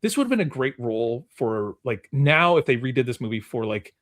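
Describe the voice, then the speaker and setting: A man's voice: mid-range voice, sing-song, brisk, fairly bright tone. A man hosting a game on a podcast in casual, conversational talk. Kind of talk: casual chat.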